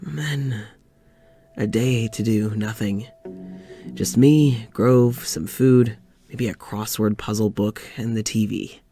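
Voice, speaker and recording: An actor playing a lonely, weary doctor in an audio drama, confiding in a halting, sighing way. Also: male voice, fairly low pitch, steady tone, warm tone, soft background music. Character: lonely, weary young doctor venting to his demon dog.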